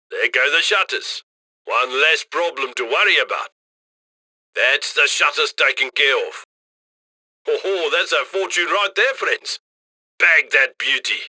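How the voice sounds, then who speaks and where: Game handler: jovial male criminal mastermind, higher-pitched, very sharp bright tone, theatrical and wry, close dry radio comms. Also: no echo, heavily acted. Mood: gleeful, greedy. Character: cocky, jovial male heist mastermind guiding his crew. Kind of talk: scripted video game mission voice lines.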